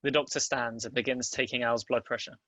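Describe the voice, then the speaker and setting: A man reads screenplay directions as scripted narration. His voice is bright and crisp-edged, fairly flat in pitch, and recorded dry and close. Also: a little fast, no echo. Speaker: narrator reading stage directions. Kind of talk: scripted narration at a table read.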